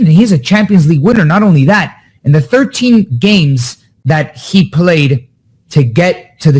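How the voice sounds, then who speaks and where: A man talking as a soccer analyst in a casual podcast chat, animated and lively. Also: breathy edge. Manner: animated, quick pitch jumps when excited.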